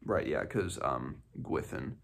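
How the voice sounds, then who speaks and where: A man in his twenties giving a casual, unscripted book discussion, halting and thinking aloud as he goes. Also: very deep voice, expressive, vocal fry. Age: 20s. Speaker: book reviewer, host of a read-along. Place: small home room, indoors.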